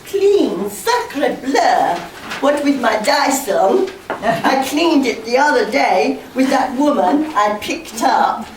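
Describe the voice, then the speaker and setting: A woman acts out a flamboyant musketeer in a comic stage pantomime. The voice is high and swooping, drawn out very slowly for effect. It comes from far off in an echoey hall. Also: big pitch swings, a little hiss or hum, full character voice. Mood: flamboyant indignation. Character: flamboyant, bickering male French musketeer, boastful comic cook. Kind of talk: amateur Christmas pantomime acting. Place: live amateur stage in a hall.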